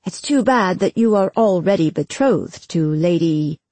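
A woman voicing a cool, sardonic young male lieutenant with a deadpan, controlled manner, as in an audiobook. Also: fairly low pitch, unhurried, no echo. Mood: cool, commanding.

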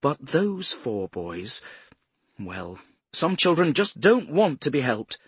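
A man's voice: medium pitch, big pitch swings, slow pace, husky, no room sound, pitched above the performer's own voice, exaggerated voice. A man narrating an audiobook as a prim, self-righteous older woman with a cultured English accent. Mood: indignant, self-righteous. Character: cultured, haughty retired care-home matron, a grandmother.

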